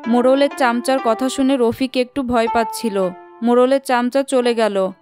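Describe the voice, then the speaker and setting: A woman's voice: fairly high pitch, steady tone, normal speed, darkish tone, soft background music. A woman narrating a scripted children's story.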